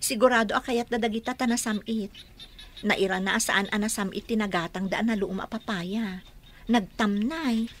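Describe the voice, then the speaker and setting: A woman's voice: mid-range voice, steady tone, normal speed, slight background noise, close to an everyday voice. A woman acting a meek young wife and caring daughter in a radio drama, with a hesitant manner. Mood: tender, worried.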